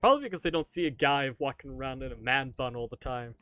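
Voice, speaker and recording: A man's voice: medium pitch, quick pace, bright, forceful tone, no echo. A man streaming live from home, chatting casually and answering viewer questions with self-mocking jokes and frequent laughter.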